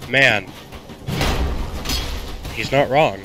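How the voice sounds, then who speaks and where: A man's voice: mid-range voice, extremely slow, very noisy. A teenage boy with a congested voice, giving sarcastic, casual commentary while playing a video game and coughing now and then. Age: teens. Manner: sarcastic, mock-grumpy banter. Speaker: gaming YouTuber. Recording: game music playing loudly behind.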